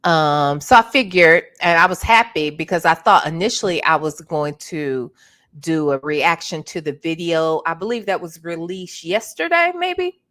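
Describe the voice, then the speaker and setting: A woman hosting a casual livestream, chatting with her viewers while sounding congested and clearing her throat now and then. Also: low-pitched, animated, moderate pace, fairly bright tone. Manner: chatty, greets viewers by name. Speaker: livestream host.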